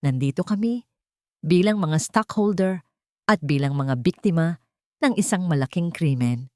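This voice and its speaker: A woman's voice: low-pitched, normal speed, dry recording, studio quality, lightly acted. A woman voicing a firm, authoritative lawyer who speaks with commanding force in a spoken audio drama. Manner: shouted, authoritative.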